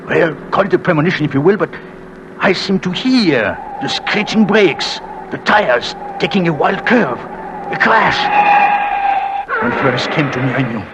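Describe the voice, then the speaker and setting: A remorseful husband narrating. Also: male voice, on the high side, expressive, measured pace, noisy background. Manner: emotional, pleading, hushed at times. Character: remorseful European husband narrating his story. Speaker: narrator and lead. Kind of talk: 1940s radio drama narration. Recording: lo-fi old radio recording.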